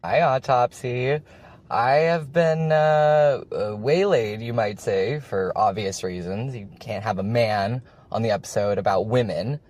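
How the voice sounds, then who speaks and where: Tongue-in-cheek man with a medium-pitched voice. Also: a little slow, darkish tone. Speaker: listener sending a voice message. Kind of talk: personal recorded opinion message.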